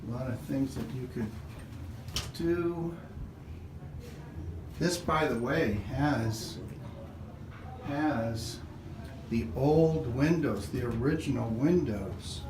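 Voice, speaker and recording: A middle-aged man thinking aloud as he walks through a house at an open house, filming with a handheld camera. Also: mid-range voice. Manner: musing, halting, thinking aloud. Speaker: house-hunting vlogger. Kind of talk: casual walkthrough commentary. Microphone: handheld camera mic. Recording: echoey rooms, background noise.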